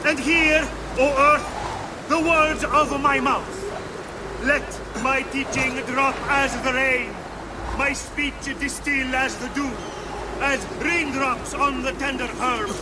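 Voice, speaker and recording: An actor playing a fiery, fearful town priest in a video game, declaiming grand warnings and scripture. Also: male voice, very high-pitched, steady tone, slow, background noise. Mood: exalted preaching. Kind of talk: dramatic sermon, video game acting.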